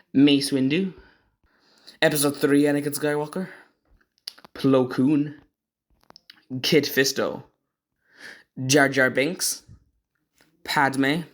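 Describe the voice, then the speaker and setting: A man hosting a casual ranking commentary in a hesitant, rambling, opinionated way. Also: mid-range voice. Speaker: video host ranking game voice actors.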